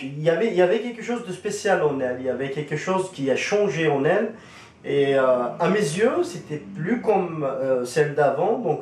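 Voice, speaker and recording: A man's voice: medium pitch, a little mellow. Hesitant man, roomy and distant. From Algeria. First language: Kabyle. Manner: hesitant, many fillers and restarts. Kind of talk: casual spoken testimony. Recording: roomy echo, distant from the mic.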